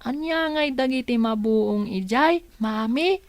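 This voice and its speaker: A woman playing a polite, playful, chatty young boy in an audio drama, with slow, drawn-out delivery. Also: fairly high pitch, no echo. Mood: playful, cheeky.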